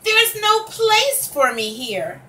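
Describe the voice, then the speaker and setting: A woman's voice: very high voice, sing-song, slow pace, sharp tone, exaggerated voice. A woman voicing a sad, self-doubting male lion in a children's picture book, plaintive and dramatic. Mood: sad, defeated. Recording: distant microphone, faint background noise.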